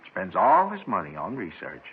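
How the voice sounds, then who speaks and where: An actor playing a rambling, eccentric robbery suspect with a querulous, folksy American delivery in a 1950s radio drama. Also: male voice, low voice, wildly swooping pitch, normal speed. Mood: rambling, admiring. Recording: tinny, noisy 1950s radio recording.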